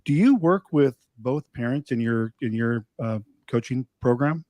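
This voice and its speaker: Man, podcast host, medium pitch, dry close-mic sound, rambling casual questions. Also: lively intonation, unhurried, warm tone, no room sound. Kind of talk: casual interview talk.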